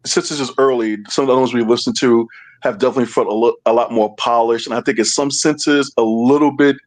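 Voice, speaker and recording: A man giving a casual review as a panelist, hesitant, often repeating phrases as he thinks. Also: on the low side.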